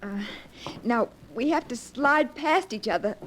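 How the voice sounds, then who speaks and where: On a slightly noisy 1940s radio recording, an anxious young lady. Her high voice rises and falls widely, hesitant and flustered, in melodramatic style. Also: lively intonation, measured pace, fairly bright tone. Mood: uneasy. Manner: hesitant, repeating her words.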